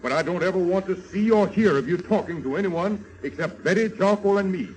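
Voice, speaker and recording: An actor playing a bossy, smooth-talking medicine show kidnapper in a coaxing showman's manner, in 1930s radio serial style. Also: high voice, a little fast, natural voice, poor audio. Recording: old radio serial recording.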